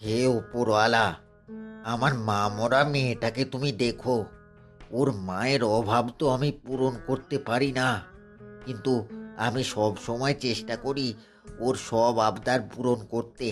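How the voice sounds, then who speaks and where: A gentle, doting farmer father in a cartoon fairy tale , acted by a man. He has a medium-pitched voice and a caring manner. Soft plucked guitar music runs behind him. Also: fairly even intonation, measured pace, natural voice. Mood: prayerful. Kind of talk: animated fairy tale acting.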